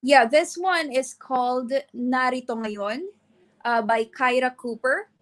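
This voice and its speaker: A young woman, co-hosting a live art sale and reading artist bios in a chatty way, with frequent restarts and quick laughs. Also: high-pitched. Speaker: livestream co-host.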